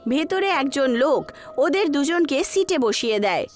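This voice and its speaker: A woman's voice: sits high, lively intonation, a little slow, soft background music. A woman reading scripted narration for a horror story.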